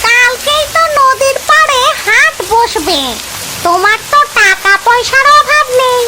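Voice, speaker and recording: A woman voicing a nosy, bargaining village auntie in a cartoon, with drawn-out, accusing delivery. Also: extremely high pitch, very noisy. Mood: accusing, cunning. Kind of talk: cartoon voice acting.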